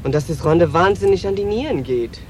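Brash, high-voiced street queen. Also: expressive, brisk. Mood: guarded, reflective. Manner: sharp, brash, emotional. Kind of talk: radio drama acting. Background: music, traffic and street sounds.

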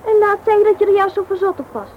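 A woman's voice: very high-pitched, racing, narrow, tinny audio. A woman acting a reproachful, headstrong young woman in a radio play. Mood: casual, coaxing. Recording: noisy old radio play recording.

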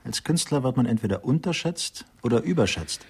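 An actor playing a sardonic detective's sidekick with a mocking delivery in a satirical radio crime drama. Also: male voice, mid-range voice, lively intonation, darkish tone, husky. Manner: mocking, drawn-out asides. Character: sardonic male investigator's sidekick, sceptical of art.

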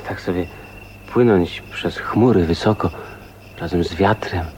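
An actor playing a calm, wistful man who speaks in an unhurried, understated way in a radio drama. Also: low voice, low-quality recording. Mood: quietly dreamy. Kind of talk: radio drama acting, quiet dialogue. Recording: faint noise, 1980s radio drama.

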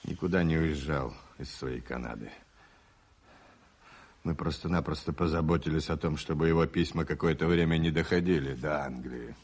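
An actor playing a suave middle-aged master thief in a Soviet radio play, cool, terse and dryly ironic. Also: male voice, extremely low pitch, normal speed, darkish tone.

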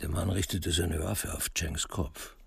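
A man with a creaky voice narrating a scripted radio crime drama. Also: low-pitched, steady tone, a little slow, dry recording.

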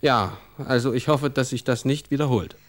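A man's voice: medium pitch, normal speed, close to an everyday voice. A man playing a baffled, exasperated father of a young son in a children's radio play, stern and incredulous. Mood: resigned.

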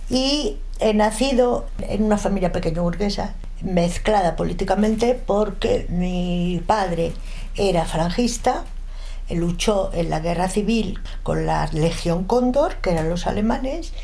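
A woman's voice: medium pitch, vocal fry, mellow. A woman in her seventies with a Madrid Spanish accent, recalling her life emphatically in an interview. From Madrid, Spain. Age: 70s. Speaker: interview guest. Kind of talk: casual reminiscence in an interview. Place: indoors at home.

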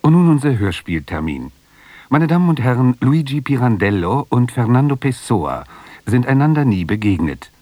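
A man's voice: medium pitch, sing-song, moderate pace, darkish tone, no echo. A man announcing a radio programme in formal, scripted broadcast narration. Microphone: close studio microphone.

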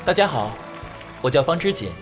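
A young man playing a sweet, naive idol group member who speaks in a gentle, earnest way. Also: a little high, sing-song, a little fast, music in the background. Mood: eager, polite.

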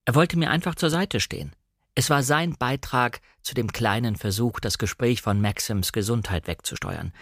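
A man narrating a literary audiobook in a measured, expressive way. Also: mid-range voice, no room sound, studio quality.

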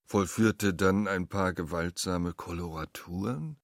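A man's voice: low-pitched, unhurried, dry recording, studio quality. A man delivering scripted dramatic narration in a radio play.

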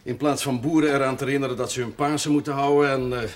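An actor playing a worldly, teasing country priest with a wordy, self-assured manner in a television drama. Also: male voice, mid-range voice, fairly even intonation, fast talker, close to an everyday voice. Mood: wry, teasing. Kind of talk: naturalistic TV drama dialogue.

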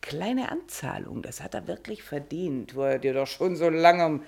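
An actress in a radio play playing an aging, hurt stage actress who is mocking and defiantly merry. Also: female voice, deep voice, lively intonation, moderate pace, vocal fry, a little mellow. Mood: defiant mockery. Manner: mocking, forced gaiety with laughter. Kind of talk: theatrical German radio play acting.

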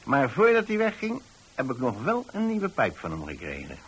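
A man's voice: mid-range voice, huge pitch swings, moderate pace, fairly bright tone, husky, exaggerated voice. An actor playing a kindly older landlord in a radio monologue, chatty and hesitant as he rambles along. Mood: wistful, fond. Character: kindly rambling old pensioner landlord, widower-like homebody.

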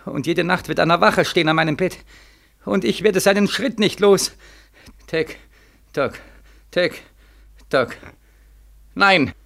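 An actor in a 1940s German radio drama playing a haunted, guilt-ridden, desperate war returnee, theatrical and staccato, swinging between hushed and frantic. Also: male voice, high-pitched, takes it slowly, gravelly, long pauses, heavily acted. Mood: dazed anguish. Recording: 1940s radio studio recording.

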